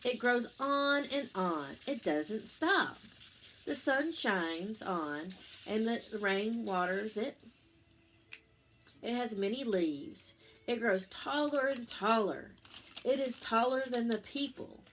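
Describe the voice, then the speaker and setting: A woman reads a children's story at a slow pace over faint music. Her pitch is average for a woman, and she speaks as a teacher does, explaining things simply and putting questions to young listeners. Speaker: teacher reading a picture book aloud. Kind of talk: children's story read-aloud with explanatory asides.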